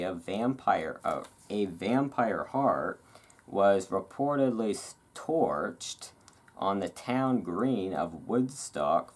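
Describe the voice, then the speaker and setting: A host reading aloud in a relaxed ASMR style, with casual, musing asides. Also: sits low. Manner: rambling, musing asides between read passages.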